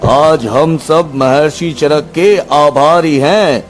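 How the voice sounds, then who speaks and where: A man's voice: fairly high pitch, slow, faint music behind. An actor voicing a proud king and father in a dubbed cartoon, speaking earnestly and with emphasis. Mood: grateful.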